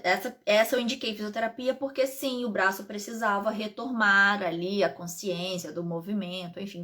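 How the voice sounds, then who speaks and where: A Brazilian woman, an integrative therapist and pharmacist, chatting casually to live-stream viewers with a slightly hoarse voice and frequent asides. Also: mid-range voice, fairly bright tone.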